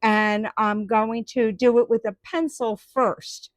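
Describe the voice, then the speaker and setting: A woman talks her viewers through her woodturning project in a slow, rambling live-stream chat full of ums and restarts. Her voice sits a little high, and the sound is dry and close with no room echo. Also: steady tone.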